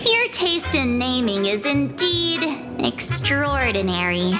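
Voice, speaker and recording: A woman playing a perky, excitable young girl adventurer in an anime-style video game, expressive and full of exclamations. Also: high voice, slow, full character voice. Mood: excited. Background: loud music.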